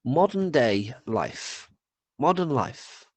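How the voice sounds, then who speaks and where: A man's voice: medium pitch, sing-song, slow, breathy edge, no echo. A man with a cold, co-hosting a podcast in casual chat, swearing and tripping over his words. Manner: rambling, swears, stumbles and repeats words. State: has a cold. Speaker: podcast co-host.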